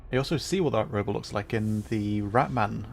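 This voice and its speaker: Casual gravelly man streaming a game. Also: on the low side, a little fast, a little hiss or hum. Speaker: livestreamer playing a video game. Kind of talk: casual game livestream commentary.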